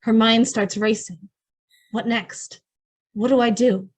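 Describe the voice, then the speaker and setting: A woman's voice: on the high side, fairly even intonation, slow, husky. A woman narrating an old-time radio drama in a theatrical, knowing, suspenseful way. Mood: ominous knowing.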